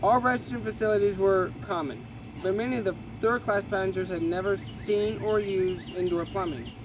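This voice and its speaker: High-voiced man reading aloud over traffic noise. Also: moderate pace. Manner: casual, stumbling reading with self-corrections. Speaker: reader. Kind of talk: reading a history book aloud with asides.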